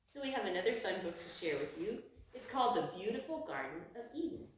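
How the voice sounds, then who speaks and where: A woman hosting a children's storytime, reading picture books aloud in an expressive, sing-song way to a small child. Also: mid-range voice, fast talker, reverberant, slight background noise.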